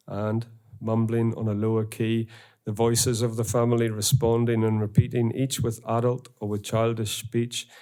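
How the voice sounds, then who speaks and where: A middle-aged man with a Northern Irish accent giving an academic lecture in a university auditorium, reading poems aloud in a measured way. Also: on the low side, dark tone. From Northern Ireland. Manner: measured, reads quotations slowly.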